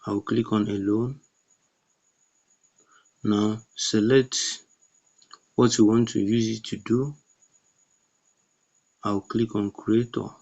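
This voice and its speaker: Flat low-voiced man giving app steps. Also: takes it slowly, long pauses, highs cut off. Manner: plain, instructional, short commands. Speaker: tutorial narrator. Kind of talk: step-by-step app tutorial narration.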